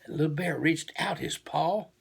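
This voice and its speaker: A man reading a children's storybook aloud in an expressive, homely storytelling manner. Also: on the high side, moderate pace, gravelly, breathy edge. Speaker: storybook reader.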